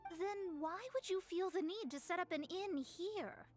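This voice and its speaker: High-voiced haughty young woman astrologer. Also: measured pace, faint music behind. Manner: haughty, lecturing. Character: proud young female astrologer, haughty mentor. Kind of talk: video game character acting.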